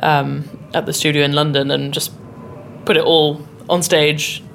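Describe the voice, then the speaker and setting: Young UK mezzo, very low speaking voice, bubbly and casual, faint noise. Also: female voice, flat delivery, measured pace, gravelly. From United Kingdom. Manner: chatty, bubbly, uses "like" and "kind of". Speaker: mezzo-soprano. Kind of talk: interview.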